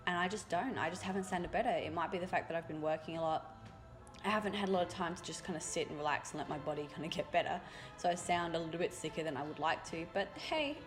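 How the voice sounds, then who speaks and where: A young woman art youtuber talking casually over her drawing, raspy from a cold and rambling with fillers. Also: fairly low pitch. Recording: voice-over with loud music behind.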